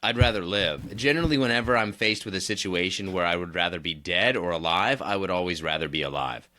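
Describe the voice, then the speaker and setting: A man's voice: sits low, brisk, sharp tone. A man co-hosting a casual podcast, teasing his friend with dry, sarcastic banter. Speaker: podcast co-host.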